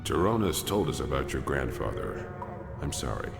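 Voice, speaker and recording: A man's voice: rumbling low, very animated, moderate pace, creaky, exaggerated voice. A man playing a solemn, authoritative leader in a video game. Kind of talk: video game character acting. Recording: echoey reverberant with music behind.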